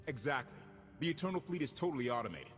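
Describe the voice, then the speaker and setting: An actor playing a plain-spoken former warship captain in a game cutscene. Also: male voice, medium pitch, very animated, fast, fairly bright tone, a little hiss or hum. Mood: serious. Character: blunt former warship captain, earnest man. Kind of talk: video game cutscene acting. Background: game music.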